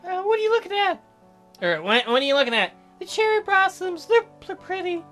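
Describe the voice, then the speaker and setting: A man voicing a sharp-tongued, bashful sick young girl in a visual novel, curt, pouty and easily flustered. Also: very high voice, sing-song, fairly quick, voice pushed up, full character voice. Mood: curt, shy. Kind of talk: visual novel character read aloud. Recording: soft music behind the voice.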